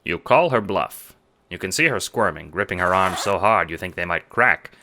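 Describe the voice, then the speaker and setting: A man narrating a fan audio drama in a dramatic, measured noir style. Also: low-pitched, lively intonation, bright, forceful tone, slight background noise. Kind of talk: second-person noir narration.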